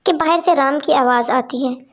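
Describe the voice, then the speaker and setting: A woman's voice: sits high, moderate pace. A woman narrating a cartoon story in a plain storytelling tone.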